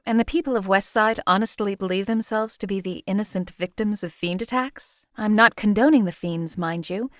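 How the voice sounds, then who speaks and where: A woman's voice: mid-range voice, normal speed, few pauses, dry recording, studio quality, lightly acted. A woman playing a cold emotionless assassin. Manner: flat, cold, clipped and detached. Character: cold-blooded female assassin companion, emotionless. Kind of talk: game companion voice lines.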